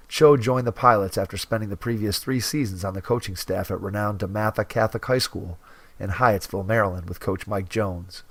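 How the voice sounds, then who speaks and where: A man hosting a basketball coaching podcast, reading a guest's biography in a measured announcer style and then asking interview questions. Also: fairly low pitch, dry recording. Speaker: podcast host.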